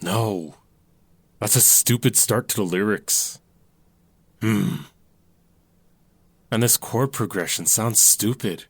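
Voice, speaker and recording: A man's voice: fairly low pitch, slow pace, pauses often, soft background music. An actor playing a young man who doubts his own songwriting, who speaks in a self-deprecating, frustrated way and mutters to himself in an audio drama.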